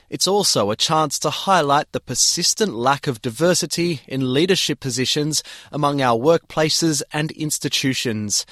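A man narrating a news report in a measured delivery. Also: medium pitch, fairly bright tone, dry recording, clean studio sound.

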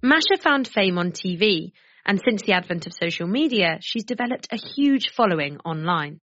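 A woman reporter and presenter narrating a documentary series in a measured, clear way. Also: medium pitch, animated, a bit bright, no echo, lo-fi sound. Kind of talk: scripted narration.

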